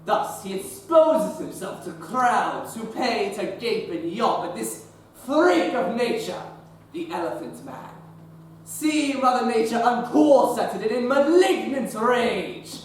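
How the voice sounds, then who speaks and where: A young man acting a coarse, bullying Victorian sideshow barker in a stage play, loud and pushy. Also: very high voice, lively intonation, slow, slight background noise, heavily acted. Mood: hawking. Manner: hawking loudly, harsh and pushy. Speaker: actor in a teen stage production. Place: theater stage. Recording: roomy stage sound from a distant mic.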